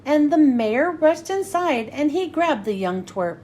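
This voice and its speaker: A woman reading a rhyming picture book aloud to children in an expressive storytelling style, acting out the dialogue. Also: sits high, a little slow, slight background noise. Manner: expressive, acts out character dialogue.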